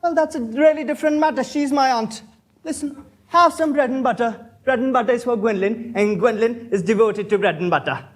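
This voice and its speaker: High-pitched, airy young gentleman acted by a man. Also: fairly quick. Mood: witty, bossy. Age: young adult. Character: idle, witty young Victorian gentleman, flippant and self-assured. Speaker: student actor in a stage play. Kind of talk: stage comedy of manners acting.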